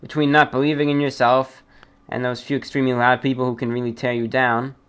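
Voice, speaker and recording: Man hosting a halting, deliberate mail show. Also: medium pitch, level tone, a bit bright, clean tone. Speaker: host of a listener-mail show. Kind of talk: reading listener mail and commenting casually.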